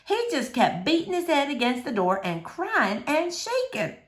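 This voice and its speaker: A woman reading a children's novel aloud in an expressive, animated storytelling manner. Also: sits high, a little slow. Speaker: storybook reader.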